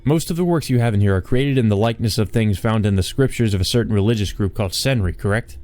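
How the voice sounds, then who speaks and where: A man's voice: lowish voice, quick pace, darkish tone, soft background music, lightly acted. A man voicing an insolent, carefree male visitor in a visual novel, over-familiar and needling in manner. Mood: probing.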